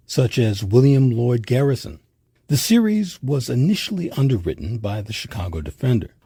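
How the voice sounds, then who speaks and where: A man narrating a scripted educational documentary with measured, emphatic phrasing. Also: mid-range voice, warm tone, husky. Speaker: narrator and host.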